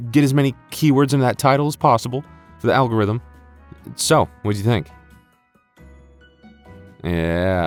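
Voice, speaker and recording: A man playing an obsessive, sleepless conspiracy investigator in a comic outro skit as channel host, halting and excitable. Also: medium pitch, voice pushed up, lightly acted. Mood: playful. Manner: excitable, halting, mock-urgent. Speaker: roleplay audio channel host. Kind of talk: comedic outro skit to listeners.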